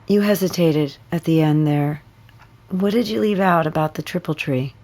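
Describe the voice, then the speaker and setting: A woman's voice: sits low, darkish tone. A woman playing a probing, firm but encouraging counselor in a naturalistic audio drama. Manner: measured, probing, professional.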